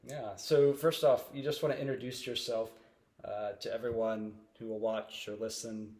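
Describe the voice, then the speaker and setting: A man who is a pastor hosting a casual interview, speaking in a relaxed way with many uhs and ums. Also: on the low side, moderate pace. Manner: relaxed, filler-heavy, uh and um. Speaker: host, pastor.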